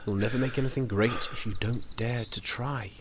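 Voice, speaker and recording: An actor playing a genial, philosophical middle-aged gentleman in an audio drama, speaking with measured, musing pauses. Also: medium pitch, fairly even intonation, noisy background, close to an everyday voice. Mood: earnest, encouraging. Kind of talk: theatrical audio drama acting.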